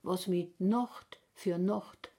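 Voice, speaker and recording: A woman declaiming poetry from a stage in a defiant, forceful way. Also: on the low side, drawn-out words. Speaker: stage performer.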